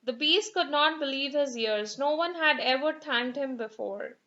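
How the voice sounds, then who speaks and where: A woman narrating a children's fairy tale as an expressive, dramatic storyteller. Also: sits high, a little slow, lo-fi sound.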